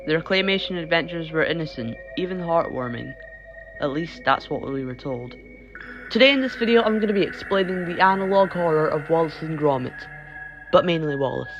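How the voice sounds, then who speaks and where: Higher-pitched man narrating, dull lo-fi sound. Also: animated, brisk, a bit bright. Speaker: video narrator. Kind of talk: scripted horror-story narration. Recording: dull, lo-fi voice track. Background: background music.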